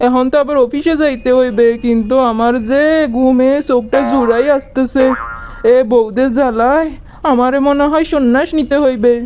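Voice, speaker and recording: Casting: woman as henpecked comic husband, high and mellow, Bangladeshi accent, forever coaxing and grumbling. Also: fairly even intonation, normal speed, faint music behind, lightly acted. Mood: exasperated. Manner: coaxing, complaining. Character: harried, pleading husband of two quarrelling wives. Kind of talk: comic cartoon dialogue.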